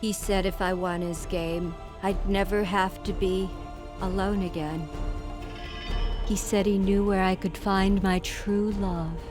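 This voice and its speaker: A lonely, deranged woman , played by an actress, narrates a video game cutscene. She is wistful, self-pitying and vain, fixated on finding love, and speaks at a middling pitch under very loud music. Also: fairly even intonation, measured pace, natural voice.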